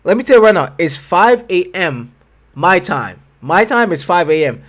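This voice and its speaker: A man's voice: high voice, normal speed. A sleep-deprived man with a Jamaican accent, chatting casually as he reacts to a video, often restarting his sentences. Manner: relaxed, chatty, trailing self-corrections. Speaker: YouTube reactor.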